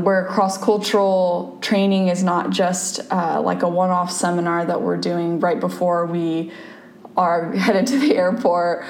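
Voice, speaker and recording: A woman's voice: on the low side, a little mellow. A young adult woman from Japan, speaking as a ministry guest in a conversational interview, thoughtful and full of fillers and restarts. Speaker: campus ministry staff, guest. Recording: roomy echo, faint background noise.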